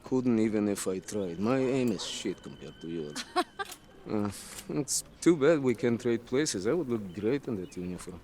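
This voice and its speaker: Actor as a lively young soldier. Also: male voice, on the low side, moderate pace, a little hiss or hum, natural voice. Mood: bantering. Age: young adult. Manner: bantering. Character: good-humored, self-deprecating young Soviet soldier, teasing brother. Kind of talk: video game cutscene acting.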